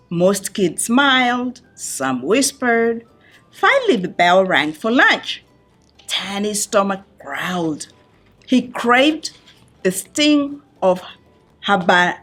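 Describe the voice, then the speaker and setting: A woman reading a picture book aloud to young listeners in an expressive storytelling style, phrasing deliberately with dramatic pauses. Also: mid-range voice. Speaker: children's book reader.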